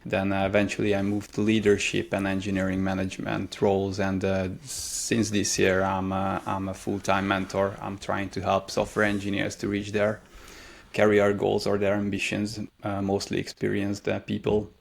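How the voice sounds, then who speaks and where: A man's voice: lowish voice, monotone, normal speed, gravelly. A man in his thirties from Hungary, speaking English with a Hungarian accent as a guest in an interview, answering thoughtfully with frequent hesitations. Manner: thoughtful, many hesitations and fillers. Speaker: guest, career mentor.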